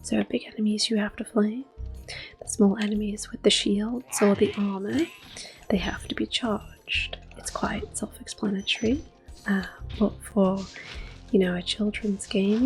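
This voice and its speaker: A woman in her thirties giving soft-spoken, whispered ASMR commentary while playing a video game, gentle and unhurried. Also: mid-range voice, vocal fry, low-quality recording. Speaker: ASMR gaming host. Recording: soft game music in background.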